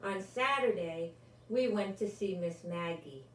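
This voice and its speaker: An older woman, a grandmother, reads a children's story aloud with deliberate, expressive delivery and adds her own asides. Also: mid-range voice. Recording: roomy, distant microphone, faint background noise.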